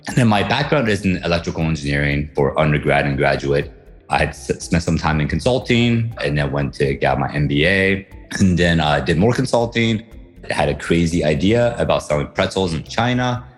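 Very deep-voiced male interview guest. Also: animated, brisk, faint music behind. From Taiwan and the US. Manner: conversational, frequent uh fillers and restarts. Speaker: guest author. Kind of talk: casual interview answers.

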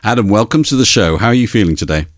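A man co-hosting a podcast, introducing the episode and the guest in a measured, reflective way. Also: fairly low pitch, expressive, no room sound, studio quality. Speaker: podcast co-host.

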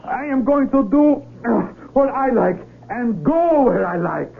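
An actor in a radio drama playing a proud, desperate young mountaineer, an outsider who speaks earnestly and defensively. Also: male voice, very high voice, lively intonation, measured pace, gravelly, very noisy, full character voice. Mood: defiant, desperate. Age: young adult. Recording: tinny vintage radio broadcast.

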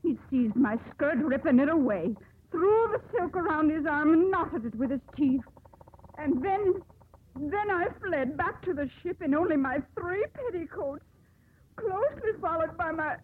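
Proud, high-voiced indignant young woman. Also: expressive, measured pace, slight background noise. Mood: indignant, wounded. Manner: haughty, declamatory, emphatic delivery. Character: proud, indignant young ship captain's daughter. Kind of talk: 1950s radio drama acting. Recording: tinny, band-limited 1950s radio broadcast.

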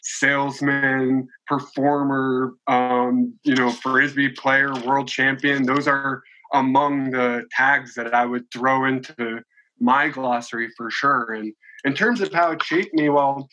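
A man's voice: mid-range voice, steady tone, takes it slowly, sharp tone, poor audio. A man in his late twenties, a podcast guest, talking in a chatty, rambling way with many restarts and fillers. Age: 20s. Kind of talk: casual conversational interview.

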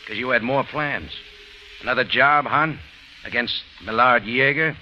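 An American actor playing a tough, sardonic private investigator in old radio drama style, with clipped hard-boiled delivery. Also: male voice, mid-range voice, measured pace, close to an everyday voice. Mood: sardonic, pressing. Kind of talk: 1940s-50s radio drama acting. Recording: muffled old radio recording, faint noise.

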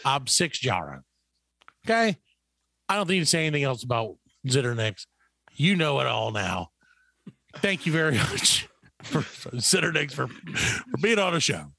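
A man's voice: medium pitch, big pitch swings, moderate pace, vocal fry, sharp tone, no echo, clean studio sound. A middle-aged man hosting a show with casual comedic banter, rambling and mock-boastful. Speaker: show host.

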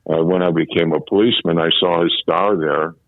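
Older man, seventies, very low voice, halting storyteller, dry phone-line sound. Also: steady tone, no room sound. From Chicago, USA. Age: 70s. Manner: halting, repeats and restarts phrases. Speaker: interviewee, former policeman. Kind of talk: reminiscing in a phone interview. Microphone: telephone.

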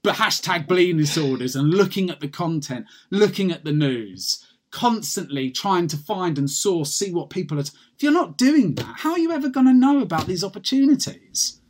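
A British man, a guest patient advocate, talking in an energetic, passionate way with many restarts in a casual podcast interview. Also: high-pitched, normal speed. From United Kingdom.

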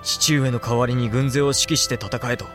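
A man's voice: mid-range voice, steady tone, moderate pace, fairly bright tone, faint music behind, natural voice. A man acting an earnest young samurai heir in a period voice drama, urgent, formal and emphatic. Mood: alarmed.

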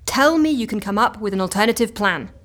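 An actress playing an exasperated, sceptical assistant in a comedy audio drama, dry and easily flustered. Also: female voice, mid-range voice, lively intonation, fairly quick, fairly bright tone, close to an everyday voice. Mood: wearily exasperated. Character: exasperated, sceptical woman assistant at eccentric agency.

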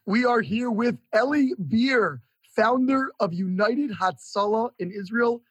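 Male interviewer, high voice, husky edge, polished effusive phrasing, podcast host delivery. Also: measured pace, a bit bright, soft background music. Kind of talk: scripted show introduction and interview questions.

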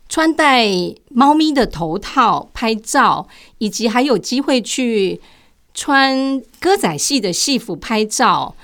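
An older retired woman with a Taiwanese Mandarin accent, answering interview questions conversationally as a guest and sharing her own experiences. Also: a little high.

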